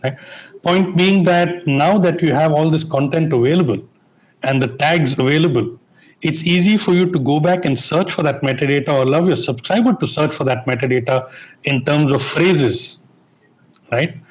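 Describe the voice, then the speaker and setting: A man's voice: fairly high pitch, normal speed, lo-fi sound. Man on a tech panel. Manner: explanatory, asks listeners to bear with him. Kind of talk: conference panel discussion.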